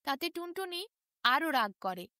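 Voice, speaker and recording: Female children's storyteller, high and very animated, expressive bedtime-tale delivery. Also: moderate pace, no echo, studio quality.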